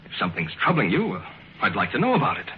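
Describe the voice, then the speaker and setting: A doubting young brother. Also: male voice, fairly low pitch, huge pitch swings, very fast, a little hiss or hum. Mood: skeptical. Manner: skeptical, soothing. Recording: muffled old radio broadcast, from off the mic.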